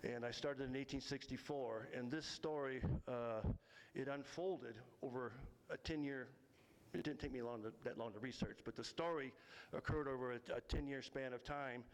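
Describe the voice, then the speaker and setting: A man telling a story live in a folksy, rambling, self-deprecating and halting way. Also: medium pitch, fairly even intonation. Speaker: storyteller and local history author. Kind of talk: live tall-tale storytelling to an audience.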